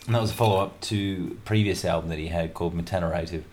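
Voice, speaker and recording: Very deep-voiced rambling radio host. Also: male voice, steady tone, fast, a little mellow, a little hiss or hum. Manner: chatty, jokey and rambling, with many fillers. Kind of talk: casual banter between music tracks.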